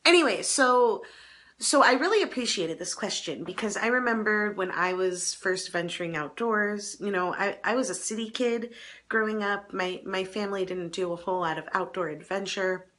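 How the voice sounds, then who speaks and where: Slightly hoarse woman giving chatty advice. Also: medium pitch, moderate pace, fairly bright tone. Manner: chatty, self-deprecating, with small laughs and restarts. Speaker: advice column host. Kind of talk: casual advice talk to camera.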